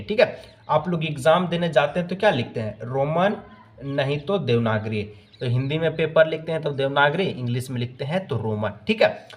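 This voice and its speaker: A man teaching exam questions, working through the answer options aloud and mixing in English phrases. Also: on the high side. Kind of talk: explaining multiple-choice answers.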